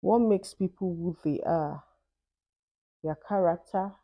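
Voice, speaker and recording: Nigerian woman in her twenties or thirties, vlog Q&A, low mellow tone, dry close sound. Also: takes it slowly, no echo. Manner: thoughtful, conversational, with "I mean" asides. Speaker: vlogger. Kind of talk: Q&A vlog, reading questions and answering.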